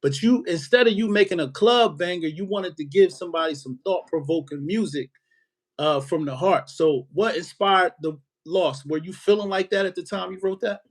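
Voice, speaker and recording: Man, high voice, relaxed podcast host, lots of "right". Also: moderate pace. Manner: relaxed, frequent "right" affirmations. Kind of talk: casual interview questions.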